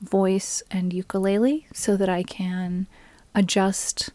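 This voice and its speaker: A woman streamer chatting casually with her live audience, relaxed and friendly, close to a USB microphone. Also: mid-range voice, no room sound. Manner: slow, relaxed and friendly, greets viewers by name, with small laughs. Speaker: streamer and ukulele player. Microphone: USB microphone on a boom arm with a pop filter.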